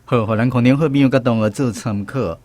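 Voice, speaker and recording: A man's voice: mid-range voice, fast talker, darkish tone. A man with a Taiwanese accent hosting a language quiz in a conversational way, moderating between contestants and teachers. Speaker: host of a radio language quiz segment.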